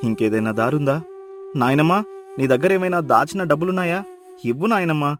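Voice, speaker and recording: A man playing a lazy, food-loving young village husband with a whiny, exaggerated manner in an animated moral story. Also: on the high side, fast talker, faint music behind, lightly acted. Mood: whiny pleading. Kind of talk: acted moral story dialogue.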